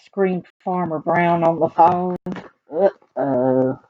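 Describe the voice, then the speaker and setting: A woman with a Southern US accent reading picture books aloud to children in an animated, expressive way, adding chatty asides. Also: fairly low pitch, takes it slowly, narrow, tinny audio, slight background noise. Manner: animated, expressive, talks directly to young listeners.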